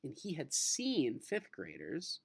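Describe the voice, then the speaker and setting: Expressive male teacher with lively pitch swings. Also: slow pace, creaky, husky, no room sound. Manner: expressive, informal, addressing students. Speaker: teacher reading a children's novel aloud. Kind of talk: read-aloud narration mixed with casual lesson talk.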